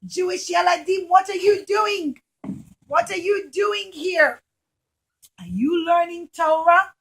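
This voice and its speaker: A woman's voice: very high-pitched, slow pace, fairly bright tone, pitched above the performer's own voice, full character voice. A woman voicing a clumsy, blustering male Greek soldier in a children's puppet show, with theatrical exclamations. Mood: suspicious.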